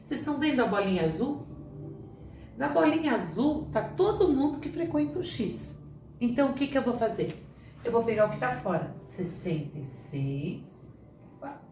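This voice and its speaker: A woman's voice: fairly high pitch, sing-song, moderate pace, darkish tone. A woman teacher talks through sums step by step, asking questions and answering them herself. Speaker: math teacher. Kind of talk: classroom lesson at a board. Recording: echoey room, voice from a distance, clear background hiss.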